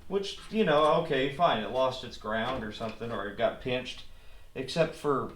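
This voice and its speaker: A roomy, slightly distant recording of a man in a garage, with clear noise behind him. He narrates hands-on repair work casually, with a folksy, self-deprecating dry humor. His voice sits at an average male pitch. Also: expressive, moderate pace. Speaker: DIY car repair video host. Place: garage workshop. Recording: echoey, distant mic, clearly noisy.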